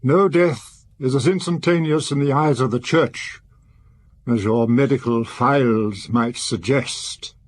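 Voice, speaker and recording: Guy playing a solemn priest in a radio play, husky medium voice, very polite and formal, careful wording. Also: medium pitch, no echo, natural voice. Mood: grave, courteous. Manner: formal, deliberate, courteous. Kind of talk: radio drama acting.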